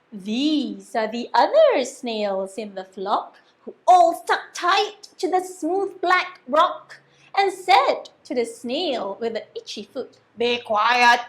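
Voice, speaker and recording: A woman reading aloud to young children as a story-time teacher, with an expressive sing-song delivery and coaxing questions. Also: high-pitched.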